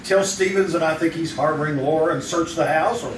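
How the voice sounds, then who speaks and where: An actor playing a skeptical, wisecracking newspaper photographer in an old-time radio drama. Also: male voice, a little high, a little fast, close to an everyday voice. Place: community theater stage. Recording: roomy, noisy, distant live stage recording.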